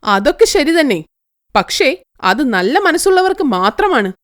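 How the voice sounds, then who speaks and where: A woman voicing a wise magic tree in an animated story who lectures in a calm, measured way. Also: sits high. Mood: lecturing.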